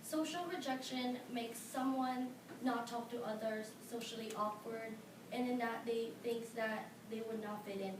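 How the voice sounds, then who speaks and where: Filipino-accented teen girl, high voice, earnest prepared speech with fillers, in an echoey, noisy room some way from the mic. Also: fairly even intonation, distant mic. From Philippines. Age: teens. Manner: earnest, halting with fillers, stressing key words. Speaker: high school student speaker.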